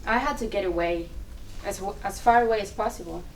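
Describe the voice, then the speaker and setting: A woman's voice: mid-range voice, a little fast, lightly acted. A woman playing a weary, wounded and guarded Cuban immigrant mother in a dramatic play reading, exasperated and pleading. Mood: guarded, wry. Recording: distant mic, faint room noise.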